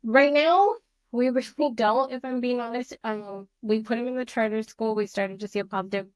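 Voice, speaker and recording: A mother speaking earnestly and openly about her teenage son's struggles, with a naturally loud voice and a halting, candid way of talking. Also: a little high, no echo. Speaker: mother of a teen on a family counseling show. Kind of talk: candid conversation.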